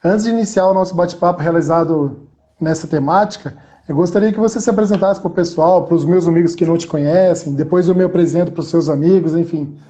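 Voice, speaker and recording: A man hosting a live video-call interview as a physical education teacher, with measured, formal phrasing. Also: on the high side, dark tone.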